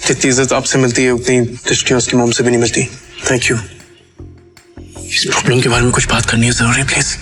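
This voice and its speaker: A man's voice: mid-range voice, level tone, brisk, fairly bright tone, faint music behind, close to an everyday voice. A man playing a humble but determined young husband in an audio drama, speaking in a polite, insistent way. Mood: earnest, calm. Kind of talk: Hindi audio drama acting.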